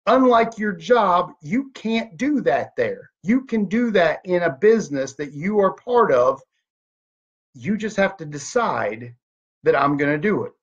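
Husky high-voiced man pitching motivation. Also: a little slow. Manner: emphatic, animated hand gestures, rhetorical questions. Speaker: network marketing leader. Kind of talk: motivational talk to camera. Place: home office room. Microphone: webcam at desk. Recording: dull lo-fi webcam audio.

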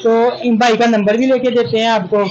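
A man's voice: very high-pitched, flat delivery, brisk, crowd noise. A man with a Pakistani accent hosting a casual market tour, asking prices and repeating the answers back. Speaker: vlogger interviewing a shopkeeper. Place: busy bird market shop. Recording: noisy lo-fi market recording with bird sounds.

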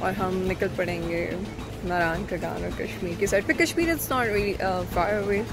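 A woman's voice: on the low side, moderate pace. A young woman with a Pakistani accent, chatting informally and a little hesitantly in a casual travel vlog, mixing Urdu and English. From Kashmir, Pakistan. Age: young adult. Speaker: travel vlogger. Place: outdoors in mountain resort grounds. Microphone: phone or camera at arm's length. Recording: music laid over the voice. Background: music.

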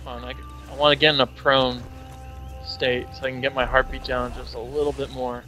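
A man's voice: medium pitch, little pitch movement, fairly quick, pushed and bright. A man chatting casually as he plays a co-op video game with a partner. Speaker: gamer on a co-op let's play. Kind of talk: casual gaming chat. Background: game music.

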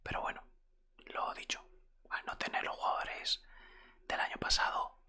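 A man with an accent from Spain speaks entirely in a close-miked whisper, airy and very bright. He plays a football coach in an ASMR roleplay, talking softly and without hurry, in a conversational way. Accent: Spanish from Spain. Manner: soft, unhurried, conversational. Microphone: close desktop microphone.

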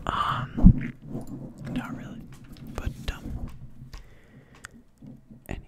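A person commentating a livestream in a breathy ASMR-like whisper, with a playful, deadpan mock-announcer delivery. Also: extremely slow.

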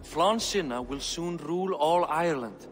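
Man playing a dignified Viking king, slightly high-pitched. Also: in a large hall, a little hiss or hum, lightly acted. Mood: earnest, calculating. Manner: formal, weighty, deliberate. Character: weary, shrewd middle-aged Viking king, dignified. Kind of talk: dramatic video game cutscene acting.

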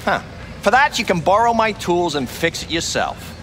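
An actor playing a grudging, needling garage mechanic in a video game, with a folksy, clipped, doubtful way of talking. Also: male voice, sits high, very animated, moderate pace, a bit bright, a little hiss or hum. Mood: skeptical.

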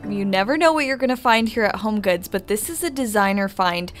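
A woman's voice: mid-range voice, lively intonation, a little fast, soft background music. A woman interior designer talking casually and upbeat as she walks through a home decor store, giving shopping tips in a voice-over. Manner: upbeat, chatty recommendations. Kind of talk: shop-with-me voice-over.

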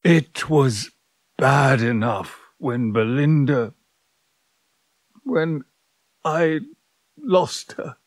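Brief: self-pitying, reflective man in audio drama; swooping, unhurried delivery, halting and theatrical. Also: a little high, no echo. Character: rueful, self-pitying man recalling old love affairs. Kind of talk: intimate audio drama monologue and dialogue.

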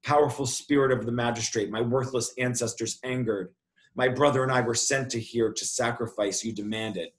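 A man voicing a frightened, flattering little girl in a storybook, pleading and telling her tale dramatically. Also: medium pitch, moderate pace, close to an everyday voice. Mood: fearful, pleading. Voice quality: quivering.

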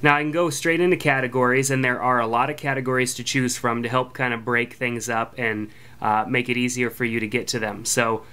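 A man hosts a casual app review podcast in a laid-back, friendly way. His voice is bright and smooth, and he fills pauses with ums and uhs. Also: mid-range voice. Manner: relaxed, filler-heavy, friendly. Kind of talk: casual product review voice-over.

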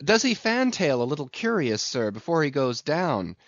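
A man voicing a respectful, curious sailor in a storybook, questioning his captain deliberately. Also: fairly high pitch, sing-song, dry recording, dull audio, voice pushed up.

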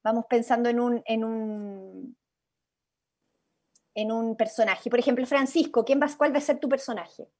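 A woman's voice: fairly high pitch, steady tone, unhurried, a little mellow. A young woman with a Chilean accent hosting an interactive creative workshop over a video call, encouraging and informal. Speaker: workshop host.

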